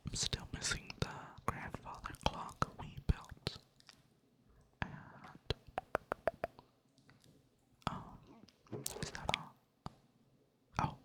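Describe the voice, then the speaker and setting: A creator whispering ASMR-style in a monotone, rambling casually while playing a game at a computer. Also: mid-range voice. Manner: monotone delivery; rambling, casual, self-mocking. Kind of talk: whispered ASMR chat over gameplay.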